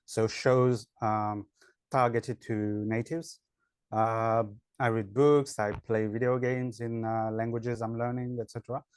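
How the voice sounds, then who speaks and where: A man's voice: mid-range voice, little pitch movement, measured pace, clear, resonant tone, no room sound. A man with a French accent, an online English teacher, answering interview questions in a hesitant way with many fillers. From France.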